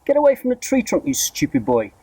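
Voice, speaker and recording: A man voicing a bossy, snappish middle-aged aunt in a storybook, with yelled exclamations. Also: high-pitched, huge pitch swings, fast, breathy edge, dry recording, distant mic, voice pushed up, heavily acted. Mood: bossy, alarmed.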